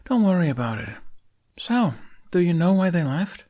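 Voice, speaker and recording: A man's voice: sits high, big pitch swings, moderate pace, mellow, pitched above the performer's own voice. A man voicing a tough young female Viking dragon rider, casual and a little exasperated, in a fantasy audiobook. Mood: mildly exasperated.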